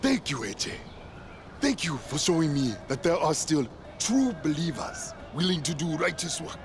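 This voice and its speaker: An actor playing a zealous, guilt-ridden preacher in a video game, theatrical and sermonizing. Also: male voice, fairly high pitch, big pitch swings, normal speed, background noise. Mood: grateful, solemn. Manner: theatrical, sermonizing, quoting scripture. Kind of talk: video game cutscene acting.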